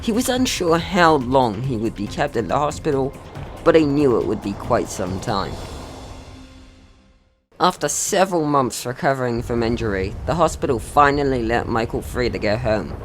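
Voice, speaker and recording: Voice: mid-range voice, big pitch swings, moderate pace, soft background music. A young person narrating a scripted story in a mock-serious way. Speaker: video creator narrating own short film.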